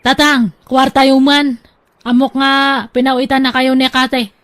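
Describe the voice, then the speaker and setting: Lady doing a nagging older wife, high voice, scolds fast. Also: level tone, fairly bright tone. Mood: scolding.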